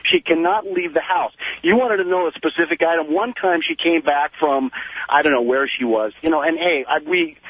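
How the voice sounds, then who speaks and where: A man's voice: on the high side, no room sound. An American man calling a radio show, chatting casually in a chummy, talkative way over a phone line. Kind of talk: casual chat.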